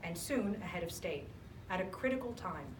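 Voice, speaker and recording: A woman's voice: on the low side, lively intonation, normal speed. A woman moderating a public conversation, polite and upbeat. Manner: polite, upbeat, laughs easily. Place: event hall. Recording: echoey room, mic at a distance, noisy.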